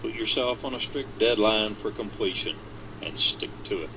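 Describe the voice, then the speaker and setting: A man reading a business article aloud with a measured, deliberate delivery. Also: lowish voice, noisy background. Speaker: reader of the article.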